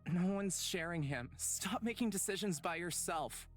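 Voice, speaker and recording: A sensible, unamused young woman from a visual novel, voiced by an actress. She speaks fairly low, firm and blunt, with faint music in the background. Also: lively intonation, normal speed, close to an everyday voice. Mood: stern.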